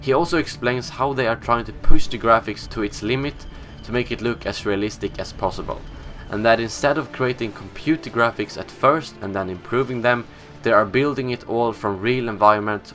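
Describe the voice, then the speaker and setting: A man's voice: on the low side, fairly quick, few pauses, fairly bright tone, faint music behind. A young man whose first language is Swedish, hosting a casual, opinionated gaming news show in a scripted style.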